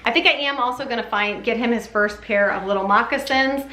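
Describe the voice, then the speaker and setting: Bright-voiced woman in an echoey room. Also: a little high, fairly quick. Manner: chatty, informal, often says "like". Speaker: parenting vlogger giving a baby update. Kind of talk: casual chat to camera. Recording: echoey room with faint background noise.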